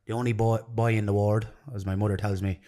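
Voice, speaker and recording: A man's voice: on the low side, flat delivery, quick pace, mellow. A man in his thirties with an Irish accent, chatting casually and earnestly as a podcast guest, rambling a little. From Ireland and London. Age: 30s. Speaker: podcast guest, jiu-jitsu coach. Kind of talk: casual chat.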